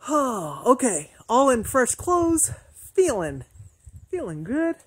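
A woman in her forties chatting casually to a phone held at arm's length in a forest, relaxed and laughing easily. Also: high voice, slow pace, breathy edge. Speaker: vlogger. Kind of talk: casual solo travel vlog. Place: outdoors in a forest.

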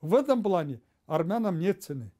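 A man's voice: sits high, big pitch swings, fairly quick, over loud music. An older man in a seated interview in a study, answering emphatically and gesturing as he talks. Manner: emphatic, gesturing with a pointing finger. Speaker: retired general interviewed. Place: indoor study with bookshelves.

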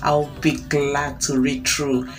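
A woman's voice: deep voice, little pitch movement, takes it slowly. A woman hosting her channel, reviewing a film in an enthusiastic, chatty voice-over that calls viewers guys. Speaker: channel host. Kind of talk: scripted entertainment review voice-over. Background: soft guitar music.

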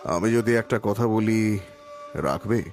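A man playing a lonely, kindly but secretive elderly villager in an audio drama, speaking haltingly and repeating his words. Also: fairly low pitch, a little mellow, faint music behind. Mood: wistful, coaxing. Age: older. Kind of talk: Bengali audio story acting.

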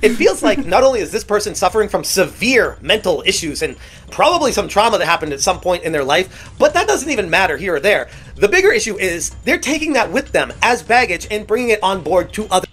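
A man narrates a gaming commentary video with a lively, rising and falling pitch. His tone is indignant, and he mocks others by quoting them. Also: fairly quick, slightly rough. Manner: indignant, lively, mocking quotes. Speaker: YouTube commentator.

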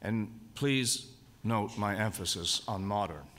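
A man giving a formal, measured lecture at a convention, speaking deliberately and stressing key words. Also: fairly low pitch, a bit bright. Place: lecture hall. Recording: long hall reverb.

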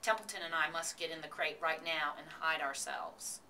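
A calm female spider from a children's story, read by a woman, soft, deliberate and decided. The pitch sits fairly low, on a slightly distant recording with clear noise. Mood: brisk, decisive. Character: calm, decisive, softly spoken female spider friend.

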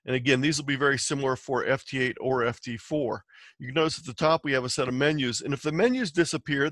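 Male presenter for a software tutorial. Mid-pitched, methodical explainer, close dry recording. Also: no room sound. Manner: explanatory, step by step. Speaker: presenter teaching amateur radio software. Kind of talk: instructional slide presentation.

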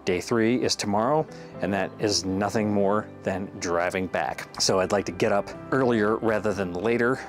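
A man's voice: on the low side, moderate pace, over music. A man in his forties talking casually to camera in a rambling, laid-back, tired way, with a lapel mic, from a car and then a porch. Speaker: vlogger. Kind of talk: casual vlog talk to camera.

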